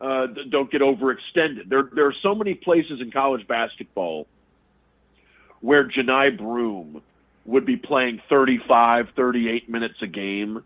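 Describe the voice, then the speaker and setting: A man, a radio sports broadcaster, giving casual analysis as a guest, talking quickly and repeating words as he thinks. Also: mid-range voice. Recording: tinny phone line.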